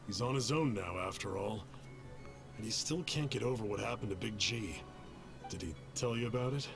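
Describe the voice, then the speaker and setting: A man voicing a reserved, wistful young man and loyal old friend in a video game, speaking in a measured, plain way. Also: on the low side, vocal fry, music in the background. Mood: reflective.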